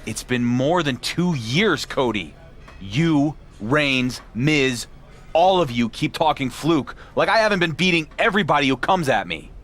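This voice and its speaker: An actor playing a proud, sarcastic wrestling champion with a dry manner in a video game cutscene. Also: male voice, a little high, animated, measured pace, a bit bright. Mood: defiant. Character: wry, proud male pro wrestling champion.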